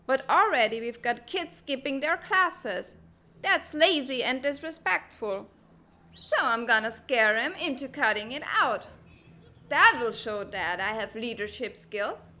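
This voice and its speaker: Woman playing a high, bright, brash teenage girl. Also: expressive, unhurried, exaggerated voice. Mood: defensive. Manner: blustery, defensive, bossy. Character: brash, hot-tempered teenage girl cadet. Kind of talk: animated comic-dub voice acting.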